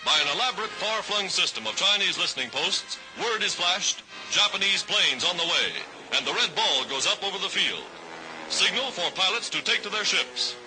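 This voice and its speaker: A man narrating a 1940s newsreel in a brisk, emphatic announcer style, on an old archival recording. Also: high voice, lively intonation, sharp tone, poor audio. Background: music.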